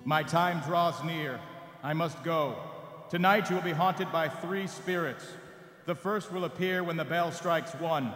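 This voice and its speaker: A man's voice: a little high, unhurried, fairly bright tone. An actor playing the mournful ghost of a dead businessman giving solemn, declamatory warnings in a radio play. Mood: ominous warning. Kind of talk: staged radio play reading. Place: on stage in a large theatre. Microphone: stand microphone. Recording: echoey theatre PA, long reverb.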